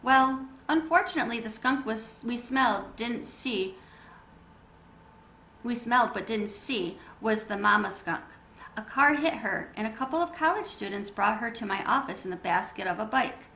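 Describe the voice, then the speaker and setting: Storybook mother voiced by a woman, gentle and reassuring, lively intonation, echoey room with faint noise. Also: on the high side, moderate pace. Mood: explaining. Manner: explaining, reassuring. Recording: roomy echo with background noise.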